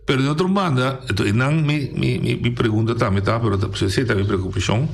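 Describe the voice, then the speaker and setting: A man's voice: mid-range voice, fast, creaky. A man hosting a radio discussion who talks in a conversational way, often agrees and restarts his phrases. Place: radio studio.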